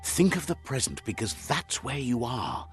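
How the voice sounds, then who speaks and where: A man playing the wry, sage narrator of an animal fable game, telling it in a measured, philosophical storybook style. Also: medium pitch, huge pitch swings, soft background music. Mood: reflective, sage.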